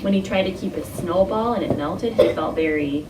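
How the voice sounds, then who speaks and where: A woman's voice: fairly low pitch, moderate pace. A woman teaching young children in an interactive read-aloud, using simple instructions and frequent questions and prompts. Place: indoor classroom with carpet. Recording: echoey, noisy room, distant microphone.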